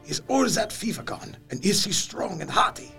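An actor playing an effusive, courtly older foreign doctor in a gothic audio drama, with florid, earnest phrasing. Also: male voice, high voice, very animated, moderate pace, vocal fry, pushed and bright, soft background music, heavily acted. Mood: concerned, probing.

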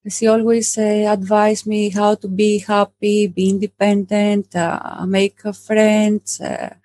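A woman in her thirties with a Greek accent, speaking English as a second language. She talks in a halting way, full of fillers and restarts, as a podcast guest. Her voice sits at a middle pitch and sounds close and dry, with no room around it. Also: flat delivery, mellow, dry recording. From Greece. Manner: hesitant, many fillers. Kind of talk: casual interview talk.

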